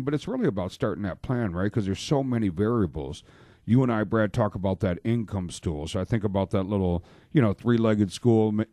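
A man's voice: on the low side, warm tone, dry recording. A man hosting a radio talk show about money, chatty and joking, with lots of fillers. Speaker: radio show host, financial planner. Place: radio studio. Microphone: studio microphone.

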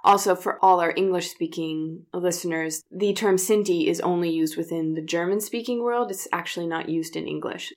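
A woman's voice: fairly low pitch, moderate pace, hardly any pauses. A woman co-hosting a discussion podcast, explaining and criticizing in an earnest, conversational way. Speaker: podcast co-host.

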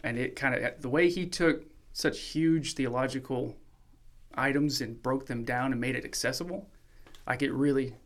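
A man who grew up in Mexico, a podcast guest calmly telling his faith story in a relaxed, reflective way. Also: mid-range voice, normal speed. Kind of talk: casual testimony sharing.

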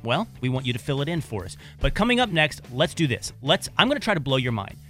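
A man in his thirties co-hosting a radio talk show, speaking in an animated way and restarting his sentences often. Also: medium pitch, fast talker. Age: 30s. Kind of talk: casual talk radio discussion. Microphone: studio microphone.

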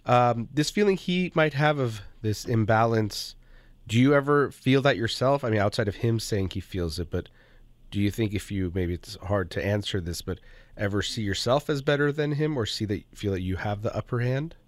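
A man hosting a call-in advice show, calmly reflecting back what the caller says and asking probing questions. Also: fairly low pitch, moderate pace, dry recording. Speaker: call-in show host, counselor. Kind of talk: counseling a caller.

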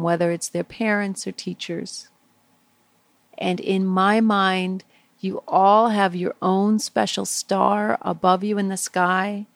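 Lady doing a kids guided meditation, really slow n soothing, short simple phrases, dry close recording. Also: mid-range voice, gravelly, no room sound. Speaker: guided meditation leader for young children. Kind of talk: guided visualization, softly spoken to young children.

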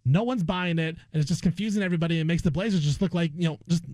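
High-pitched man arguing sports on air. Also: racing, darkish tone, no echo. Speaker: sports radio co-host. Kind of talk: opinionated sports talk debate.

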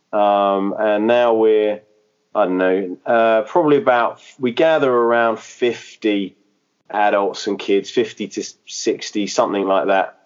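A man's voice: on the low side, darkish tone, muffled recording. A man who planted a church, speaking in a podcast interview, hesitant and full of fillers and restarts. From England.

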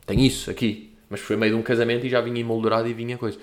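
A man's voice: mid-range voice, flat delivery, rapid-fire. Rambling young Portuguese man, slangy chat. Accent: European Portuguese. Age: young adult. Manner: rambling, slangy, self-mocking. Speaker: comedian host answering listener questions. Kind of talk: casual monologue.

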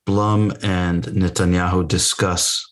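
Low-voiced male podcast host, measured and deliberate. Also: little pitch movement. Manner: measured, reads quotes then asks. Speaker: podcast interviewer. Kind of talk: prepared interview questions.

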